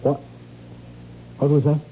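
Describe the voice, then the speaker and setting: Actor as exasperated husband, very mellow tone. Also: male voice, slow, close to an everyday voice. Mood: alarmed, stern. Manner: insistent, urgent, reproachful. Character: worried, exasperated husband of a troubled wife. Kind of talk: 1970s radio drama acting. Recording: muffled, noisy old radio recording.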